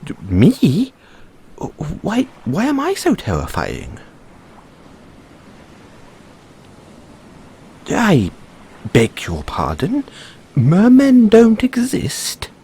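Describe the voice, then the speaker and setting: A man's voice: high-pitched, huge pitch swings, slow, warm tone, breathy edge, heavily acted. A man playing an earnest, cheery merman prince in an audio roleplay, speaking in a theatrical, exclamatory way with genteel, fussy politeness. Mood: puzzled, indignant. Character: young, cheery, dramatic, naively earnest merman prince of Atlantis. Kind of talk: audio roleplay addressed to the listener.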